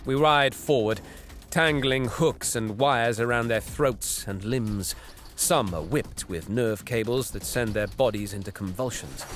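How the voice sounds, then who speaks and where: A man's voice: mid-range voice, unhurried, fairly bright tone, soft background music, lightly acted. An actor playing a cruel, haughty alien raider prince who narrates his deeds with cold disdain in an audio drama. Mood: coldly savouring. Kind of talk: first-person dramatic narration.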